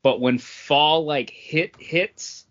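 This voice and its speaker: A man talking casually as a podcast co-host, halting often and pausing between short phrases. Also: on the high side, fairly bright tone, dull audio. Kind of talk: casual chat, informal beer tasting.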